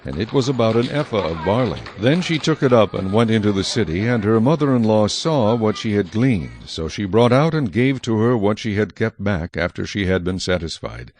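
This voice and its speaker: Low-voiced man narrating scripture. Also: lively intonation, moderate pace, hardly any pauses, a little mellow, slight background noise.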